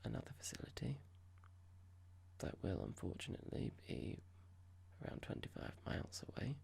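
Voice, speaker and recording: Whispering, creaky, condescending boss, acted for ASMR. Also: mid-range voice, big pitch swings, a little mellow, full character voice. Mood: cold, formal. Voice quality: breathy whisper with creaky fry. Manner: slow, deliberate, condescending, mock-polite. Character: cold, smug, passive-aggressive corporate boss.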